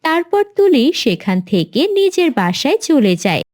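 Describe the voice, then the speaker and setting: Female narrator for a children's fable: high voice, very animated intonation, scripted storytelling. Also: normal speed, faint music behind.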